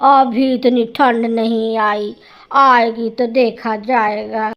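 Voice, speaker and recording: A lazy crow husband from a cartoon voiced by a woman speaks in a very high voice. He drags his words slowly, dismissive and full of complaint. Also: fairly even intonation, lo-fi sound. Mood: unconcerned.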